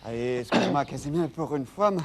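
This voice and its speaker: An actor playing an excitable, fussy gentleman in a children's show, exclaiming and easily flustered. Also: mid-range voice, quick pace, noisy background, close to an everyday voice. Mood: puzzled. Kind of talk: children's TV acting.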